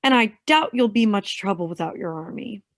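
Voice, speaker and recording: A woman's voice: medium pitch, expressive, a little fast, dry recording, clean studio sound, close to an everyday voice. An actress playing a tough, protective woman vigilante in a staged play reading. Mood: firm, defiant.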